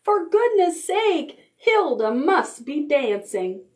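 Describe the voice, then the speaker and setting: A woman's voice: high voice, big pitch swings, slow, darkish tone, pitched above the performer's own voice, exaggerated voice. A woman voicing exasperated storybook jungle animals who plead and complain in rhyme.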